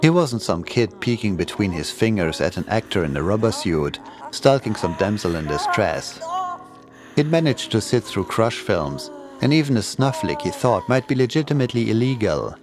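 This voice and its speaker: A man's voice: on the low side, big pitch swings, moderate pace, over music. A man reading a scripted horror story as its narrator.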